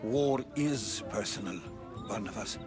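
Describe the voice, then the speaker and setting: A man plays a cryptic storyteller of middle age in a video game, speaking fairly low over music. He is deliberate and grave, as if he knows more than he says. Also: lightly acted. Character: measured, cryptic middle-aged male Greek storyteller. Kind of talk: video game cutscene acting. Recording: music behind the voice.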